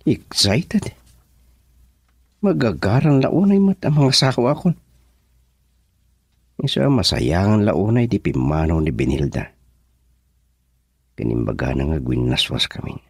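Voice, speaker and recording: An actor gives a brooding young man in love, thinking aloud in a radio play. His voice is very low and husky. He talks slowly and swings widely in pitch, in a dry close-up sound. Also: big pitch swings, no echo, full character voice. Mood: anxious. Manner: musing inner monologue. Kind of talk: radio drama acting.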